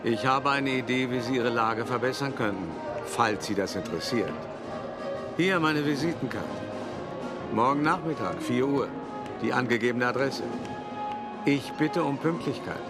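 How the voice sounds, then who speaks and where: An actor playing a calculating, urbane male art dealer who recounts his past deliberately and confidingly in a radio play monologue. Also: mid-range voice, loud music behind the voice, natural voice. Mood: businesslike. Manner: deliberate, confiding, addressing the listener directly. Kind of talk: first-person dramatic monologue in a German radio play.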